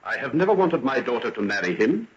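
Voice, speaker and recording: An actor playing a formal, impatient middle-aged gentleman lawyer in an old radio drama. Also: medium pitch, very animated, quick pace, crowd noise, close to an everyday voice. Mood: strained formal. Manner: formal, clipped, indignant. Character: stiff, impatient gentleman lawyer, a disapproving father. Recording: dull, noisy old radio recording with clicks.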